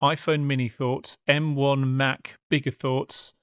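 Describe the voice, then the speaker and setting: A man's voice: medium pitch, level tone, slow, clear, resonant tone, no room sound, studio quality. A man co-hosting a podcast in casual conversation. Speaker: podcast co-host. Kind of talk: casual chat.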